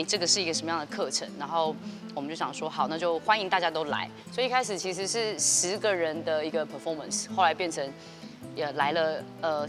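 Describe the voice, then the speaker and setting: A woman in her thirties with a Taiwanese Mandarin accent, an artistic director explaining her project fluently in a studio interview. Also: sits low. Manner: fluent, measured explaining with fillers. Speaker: guest, dance company artistic director. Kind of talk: interview answer. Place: indoor TV studio set.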